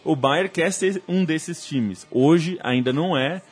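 A man from Brazil explaining football matches in a calm, measured way during a casual debate. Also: fairly high pitch, breathy edge, faint music behind. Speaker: football pundit.

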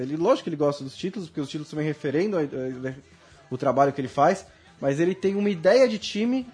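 Fast-talking husky Brazilian football pundit. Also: male voice, sits high. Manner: fast, animated, many restarts. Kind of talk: casual sports debate.

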